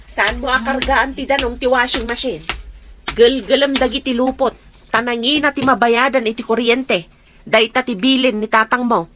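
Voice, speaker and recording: A woman's voice: fairly high pitch, fairly even intonation, moderate pace, fairly bright tone, soft background music, close to an everyday voice. A woman playing a demanding, self-pitying sickly stepmother in a radio drama, bossy and scolding. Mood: bossy, nagging. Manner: scolding, complaining. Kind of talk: Ilocano radio drama acting.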